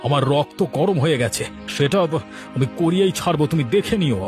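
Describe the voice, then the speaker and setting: A man's voice: on the high side, expressive, brisk, a little mellow, faint music behind, close to an everyday voice. A man voicing a disgruntled, proud village customer in a moral cartoon, complaining emphatically. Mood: vengeful. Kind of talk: Bengali moral cartoon voice acting.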